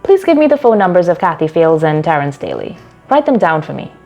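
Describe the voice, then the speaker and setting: An agitated, upright sports club coach in a short story, voiced by a woman in a lowish register. The delivery is emphatic and distressed, with music underneath. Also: sing-song, a little fast, warm tone, highs cut off. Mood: urgent.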